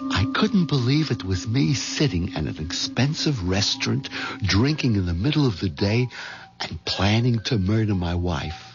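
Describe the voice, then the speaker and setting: An actor playing a mild, hen-pecked middle-aged bank clerk turned nervous plotter, stammering and hesitant, in 1970s radio drama style. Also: male voice, on the low side, expressive, moderate pace, music in the background. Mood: confiding narration. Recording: dull lo-fi 1970s radio recording.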